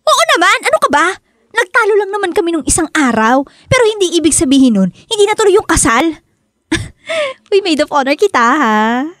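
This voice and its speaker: A woman's voice: very high voice, dry recording, clean studio sound, full character voice. An actress with a Filipino accent playing an insistent, teasing young bride-to-be in a radio drama, pressing her friend expressively. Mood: excited, teasing. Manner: nagging, expressive, quick to press questions. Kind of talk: Tagalog radio drama acting.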